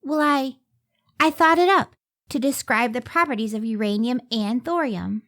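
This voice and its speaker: A woman acts a devoted, earnest scientist wife in an old-time radio play. Her voice is fairly high and close and dry, without room sound. She speaks carefully and with open feeling, modest about her work. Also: normal speed, a bit bright, dry recording. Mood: excited, earnest. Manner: earnest, careful, emotive delivery.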